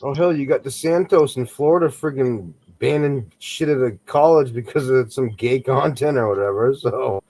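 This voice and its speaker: A man's voice: mid-range voice, normal speed, few pauses, darkish tone, poor audio. A man chatting casually on a live stream, telling laid-back stories and swearing casually. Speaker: stream co-host.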